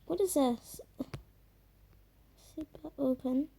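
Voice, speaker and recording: Woman gamer, croaky, high and soft-toned, loose filler-laden commentary. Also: slow. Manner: rambling, filler-heavy, trails off into murmurs. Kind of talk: casual gameplay commentary.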